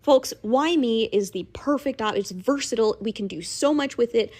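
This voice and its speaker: A woman delivering a dramatic, persuasive voice submission, theatrical and emphatic, with deliberate pauses. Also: fairly high pitch, darkish tone. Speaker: listener sending a voice submission.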